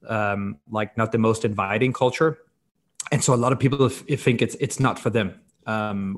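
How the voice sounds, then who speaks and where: Casting: man, 30s, from Denmark, fairly low voice, informal founder explaining things in a conversational interview. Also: a bit bright. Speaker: podcast guest, company co-founder and CEO.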